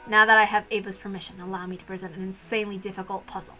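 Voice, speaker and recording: A woman's voice: medium pitch, level tone, racing, pushed and bright, faint music behind, close to an everyday voice. Woman playing a cool, confident puzzle challenger. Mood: dry, confident.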